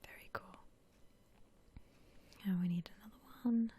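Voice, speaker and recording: A woman whispering softly in a gentle, unhurried, musing way, as in ASMR commentary on a game she is playing. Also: mid-range voice, fairly even intonation. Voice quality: soft breathy whisper. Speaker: gaming ASMR host.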